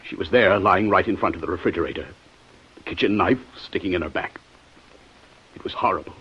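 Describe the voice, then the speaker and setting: An overwrought, well-to-do husband in an old radio crime play. The voice is husky and on the low side, theatrically agitated, on a dull vintage recording. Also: male voice, brisk. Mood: shaken. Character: agitated wealthy banker husband, frantic and overwrought. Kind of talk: 1950s radio acting.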